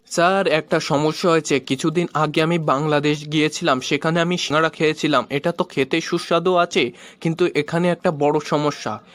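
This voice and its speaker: A man's voice: on the high side, steady tone, fairly quick, fairly bright tone, a little hiss or hum, close to an everyday voice. A man voicing a naive, pestering pupil in a cartoon comedy skit, innocently asking questions. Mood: puzzled.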